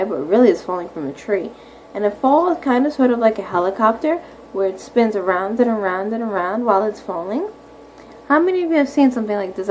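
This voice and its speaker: A woman with a somewhat high voice gives a read-aloud lesson for young children over some background noise. She explains things simply, like a primary teacher, and keeps checking in with questions. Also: darkish tone, poor audio. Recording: clearly audible background noise.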